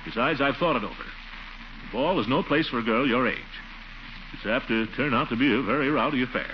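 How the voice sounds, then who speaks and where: A man's voice: medium pitch, a little fast, natural voice. A man playing a pompous, exasperated middle-aged uncle, blustering theatrically in a 1940s-style radio comedy. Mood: stern, blustering. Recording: noisy, dull old radio recording.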